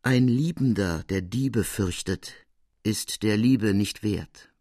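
A man voicing a dignified, witty noblewoman of seventy-three in a storybook reading, with measured, courtly speech. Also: on the low side, a little mellow, dry recording, clean studio sound. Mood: quietly wry. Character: dignified witty 73-year-old French noblewoman, unafraid, self-deprecating.

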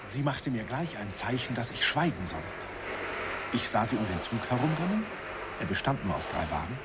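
A man's voice: mid-range voice, brisk, lightly acted. An actor playing a wistful man narrating his youthful romance in a radio play, lyrical in manner. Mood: excited, urgent. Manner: lyrical, animated storytelling. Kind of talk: dramatic first-person radio play narration. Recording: slightly noisy old radio recording.

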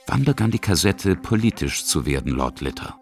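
A man's voice: deep voice, very animated, moderate pace. A man narrating a scripted radio feature, putting questions as he goes. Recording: music under the voice. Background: music.

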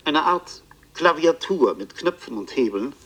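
An actor playing an awed bookseller who narrates his adventure in a radio play, in literary language with a husky voice. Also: a little high, expressive, measured pace, pushed and bright, heavily acted, rough recording. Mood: observing.

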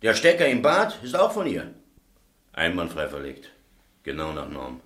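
A man's voice: low voice, wildly swooping pitch, moderate pace, fairly bright tone, exaggerated voice. A man playing a matter-of-fact electrician in a radio crime drama, explaining things precisely in short emphatic statements. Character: matter-of-fact male electrician and shop owner, master of apprentices.

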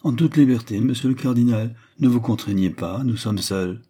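A man's voice: on the low side, moderate pace, mellow, breathy edge. A man voicing a proud, imperious queen in a dramatized historical novel, speaking in a commanding, theatrical way. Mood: haughty. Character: proud, imperious queen, defiant and wounded in love.

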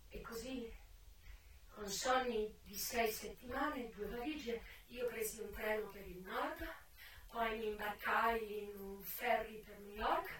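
A woman's voice: medium pitch, a little hiss or hum, close to an everyday voice. An actress performing a dramatic stage monologue as a young American former prostitute and single mother, raw, defiant and emotional. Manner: theatrical, emotional, swinging from hushed to wailing. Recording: distant, very echoey stage recording with music. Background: music.

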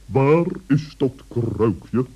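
A man playing a stern, bossy old owl in a children's radio play, pompous and reproving with drawn-out emphasis. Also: medium pitch, lively intonation, mellow, slightly rough, full character voice. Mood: indignant. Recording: dull vintage radio recording.

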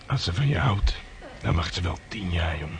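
An actor playing a gruff, lusty and gloomy old sea hand in a historical radio play, with earthy sailor talk. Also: male voice, low voice, expressive, moderate pace. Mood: bawdy, boastful. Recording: noisy radio play recording.

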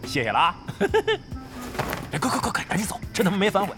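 A man's voice: high voice, huge pitch swings, moderate pace, music in the background. An actor playing a brash, crafty middle-aged veteran gamer in a Chinese audio drama, cocky and slangy, grumbling and swearing as he banters. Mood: teasing.

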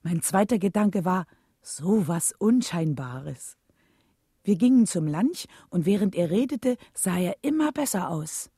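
A woman playing a reflective, devoted wife who narrates her memories in a German radio drama, with a husky, mellow, measured delivery. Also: medium pitch, dry recording, studio quality.

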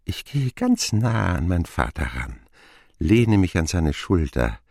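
A man playing a grown narrator who recalls his boyhood in a radio play, telling it in a measured, intimate way. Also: deep voice, very animated, vocal fry, darkish tone, no room sound, studio quality, exaggerated voice. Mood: reflective. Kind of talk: scripted first-person radio narration.

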